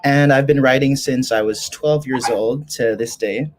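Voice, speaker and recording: Polite singer introducing himself. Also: male voice, medium pitch, unhurried, noisy background. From Indonesia. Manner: brief, polite, hesitant. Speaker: pop singer-songwriter. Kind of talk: brief self-introduction.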